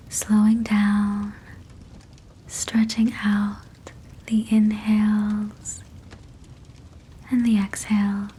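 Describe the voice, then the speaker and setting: A woman whispers a guided sleep hypnosis in a very breathy, husky tone. Her delivery is slow and almost completely level in pitch. She phrases everything gently and soothingly, like a bedtime talk-down. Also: poor audio.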